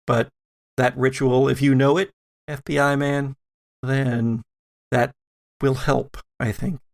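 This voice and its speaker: Man playing a cryptic, slow-spoken woman guide. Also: mid-range voice, flat delivery, creaky. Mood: grave, uncertain. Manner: halting, cryptic, measured. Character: cryptic, calm woman guide offering grave counsel.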